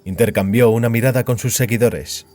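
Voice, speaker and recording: A man's voice: medium pitch, fairly quick, a little mellow, soft background music. A man narrates an audio story from a script. His voice sits in a middle register for a man. He works as the storyteller between the dramatised lines.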